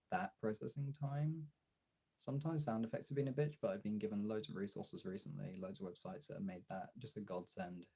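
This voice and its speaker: Husky, low-voiced young man. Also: warm tone. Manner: informal, chatty, answering chat as he goes. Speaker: streamer and video creator. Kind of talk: casual livestream chat.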